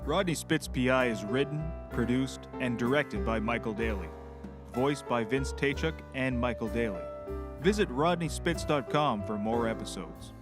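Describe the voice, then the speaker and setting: A man's voice: mid-range voice, normal speed. A man reading scripted end credits as the announcer of a show. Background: plucked guitar music.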